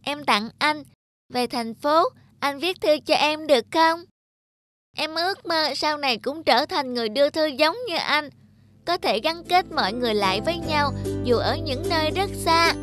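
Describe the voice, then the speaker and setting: A woman voicing an affectionate, earnest little boy in an animated tale, polite and childlike. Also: sits high, normal speed, soft background music. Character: eager, affectionate little boy missing his faraway father. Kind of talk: animated moral tale acting.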